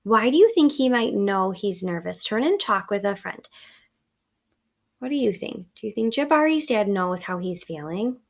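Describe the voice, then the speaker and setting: A woman teacher reading a picture book aloud to children, expressive and instructive, pausing to ask questions. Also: medium pitch, creaky. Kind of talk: read-aloud lesson, storytelling with questions to children.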